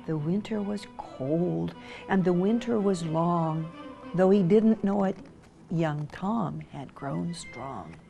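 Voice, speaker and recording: A woman's voice: lowish voice, slow, vocal fry, dark tone, lo-fi sound, faint music behind. A woman reading a rhyming children's storybook aloud, with theatrical, dramatic emphasis. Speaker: storybook reader.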